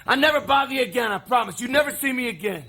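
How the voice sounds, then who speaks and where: An actor playing a pleading, hot-tempered older brother, a rough drifter in a stage play, blurting and stammering insistently. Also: male voice, very high-pitched, animated, rapid-fire, sharp tone, exaggerated voice. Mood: desperate. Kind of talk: live stage play acting.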